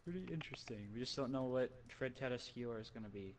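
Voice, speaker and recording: A man on a casual gaming panel, calmly laying out details and theories about the game. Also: medium pitch, fast, a little hiss or hum, rough recording. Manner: informative, lays out game lore. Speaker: co-host panelist.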